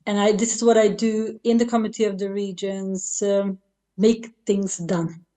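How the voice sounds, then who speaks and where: Hungarian-accented woman, mellow earnest politician. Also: mid-range voice, flat delivery. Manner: earnest, halting, with restarts. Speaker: local politician. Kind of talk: panel speech. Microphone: video call.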